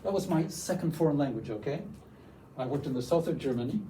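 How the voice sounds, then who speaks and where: Older Canadian man, workshop presenter, dark mellow voice, slightly high, faint room noise. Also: lively intonation, quick pace, far from the mic, rough recording. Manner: enthusiastic, tags lines with "okay?". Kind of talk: teacher workshop talk.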